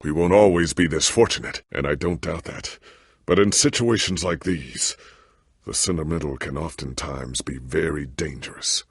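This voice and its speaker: A man playing a proud, earnest veteran warrior hero in a video game, speaking formally and theatrically with a deep, raspy voice. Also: normal speed, no room sound, studio quality, exaggerated voice. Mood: grave. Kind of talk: dramatic video game dialogue.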